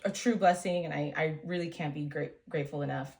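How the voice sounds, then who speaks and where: Earnest woman, low voice, lots of fillers. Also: fairly even intonation, fairly quick, gravelly. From United States. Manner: earnest, warm storytelling with many fillers. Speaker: small business owner, guest. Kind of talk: casual interview chat.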